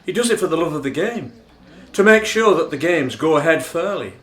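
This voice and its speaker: An actor playing a formal, indignant dinner speechmaker in a radio play, declamatory and emphatic. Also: male voice, a little high, lively intonation, a little fast, fairly bright tone. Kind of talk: theatrical radio play monologue. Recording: roomy, echoing sound.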